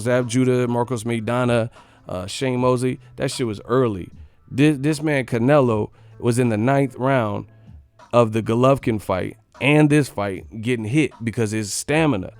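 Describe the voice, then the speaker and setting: An American man hosting a live boxing stream, giving casual, opinionated analysis in a blunt, slangy way with some swearing. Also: medium pitch.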